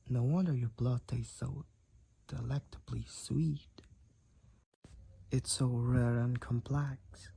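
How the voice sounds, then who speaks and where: A man voicing a devoted, overprotective vampire boyfriend in an intimate audio roleplay, with courtly, archaic, tender phrasing and a breathy, husky voice. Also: medium pitch, mellow, full character voice. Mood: surprised, savoring. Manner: archaic, formal, tender, slow and deliberate wording.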